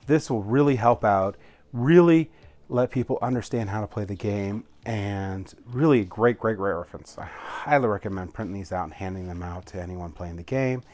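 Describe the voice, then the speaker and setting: A man's voice: fairly low pitch, normal speed, a little mellow. A man giving a casual, rambling, enthusiastic review as he explains a game rulebook. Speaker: tabletop game reviewer, host.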